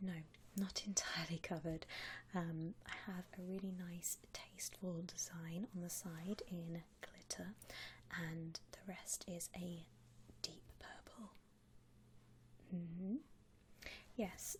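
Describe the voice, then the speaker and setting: A woman whispering softly and breathily in an attentive, gentle customer-service ASMR roleplay, close to the microphone. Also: lowish voice, a little hiss or hum. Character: polite, attentive female vampire coffin-shop consultant. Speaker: ASMR roleplay performer, playing a shop consultant.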